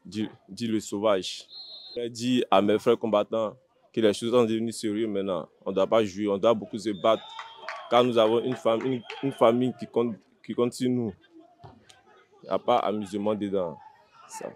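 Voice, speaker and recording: A man's voice: lowish voice, steady tone, normal speed, a little mellow. A man with an Ivorian accent, a fighter answering interview questions in a blunt, plain-spoken way with short, repeated phrases. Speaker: MMA fighter being interviewed.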